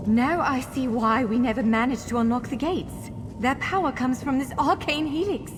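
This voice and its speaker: A woman's voice: sits high, normal speed, background noise, lightly acted. A woman playing an earnest, encouraging female elf scholar who guides a hero in a fantasy video game. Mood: awed. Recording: long hall reverb, faint music.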